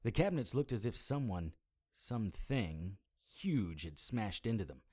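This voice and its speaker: An actor playing a wry, world-weary immortal investigator in middle age, with a dry, dramatic delivery in an audio drama. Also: male voice, on the low side, big pitch swings, unhurried, a little mellow, husky, full character voice. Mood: tense, matter-of-fact. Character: wry world-weary immortal male investigator who looks about forty. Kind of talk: audio drama dialogue and first-person noir narration.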